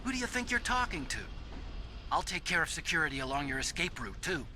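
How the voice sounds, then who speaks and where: A man playing an earnest, gentle and slightly nervous computer expert in a video game drama. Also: on the high side, very animated, quick pace, noisy background. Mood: helpful, eager. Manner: earnest, hesitant. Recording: music behind the voice. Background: game score.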